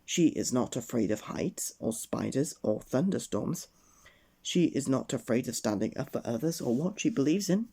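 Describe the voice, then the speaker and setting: Dark-voiced man reading a bedtime story. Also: a little high, breathy edge. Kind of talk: children's storybook narration.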